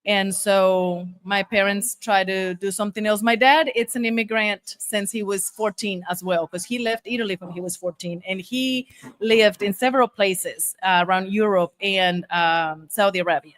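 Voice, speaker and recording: A woman's voice: medium pitch, fairly even intonation, normal speed, a bit bright, no echo. A middle-aged woman from Monterrey, Mexico, a native Spanish speaker, telling her story casually and emphatically as an interview guest. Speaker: guest, taco shop owner.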